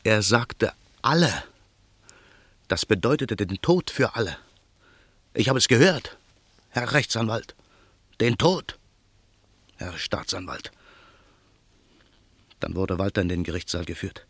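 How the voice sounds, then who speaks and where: A man's voice: lowish voice, animated, slow, long pauses, fairly bright tone, husky, dry recording, exaggerated voice. An actor playing a vengeful man who broods in a grim inner monologue in a radio drama. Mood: brooding. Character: vengeful man waiting in a car at night. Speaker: narrator and protagonist. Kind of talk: 1950s radio drama acting.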